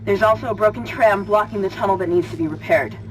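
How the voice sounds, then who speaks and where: A woman's voice: medium pitch, fast talker, lightly acted. An actress playing an outspoken female technician in a sci-fi horror game, anxious, blunt and urgent. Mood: tense, frustrated. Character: tense, outspoken female technician on a doomed spaceship. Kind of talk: video game dramatic acting. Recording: distant voice in noisy game ambience.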